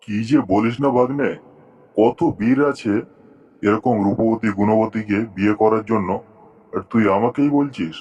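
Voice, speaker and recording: A man's voice: lowish voice, moderate pace, darkish tone, husky, muffled recording. A man voicing a boastful, gullible tiger uncle in a cartoon, blustering and impatient. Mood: curious, flattered. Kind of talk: cartoon story dialogue.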